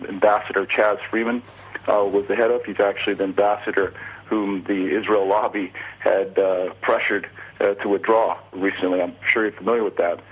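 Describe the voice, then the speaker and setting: A man's voice: on the low side, level tone, normal speed, breathy edge. A man from Los Angeles calling in by phone, earnest and intense, with hesitations. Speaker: caller. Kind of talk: phone-in comment. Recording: muffled phone line.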